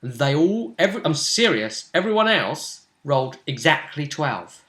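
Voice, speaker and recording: A man working as game master, casually recapping a tabletop role-playing session with animated, emphatic storytelling in a roomy space. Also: a little high, normal speed. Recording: roomy, echoey sound.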